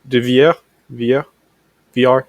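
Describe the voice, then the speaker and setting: A young American man, a native English speaker, chatting in halting French as a language learner over a video call, with frequent fillers and restarts. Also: mid-range voice, fairly even intonation, no room sound. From United States. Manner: hesitant, many fillers and restarts. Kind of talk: casual conversation practice.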